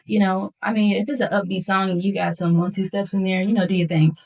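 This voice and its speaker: A young woman from West Philadelphia, a singer guest, talking in a relaxed conversational way with frequent fillers. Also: medium pitch, fast, gravelly. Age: 20s. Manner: relaxed, lots of fillers like um and you know. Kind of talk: casual conversation.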